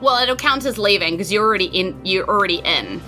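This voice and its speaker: New Zealand woman, dungeon master, bright crisp voice, patient rules explainer, faint music under. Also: medium pitch, expressive, brisk, poor audio. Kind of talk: running a tabletop game.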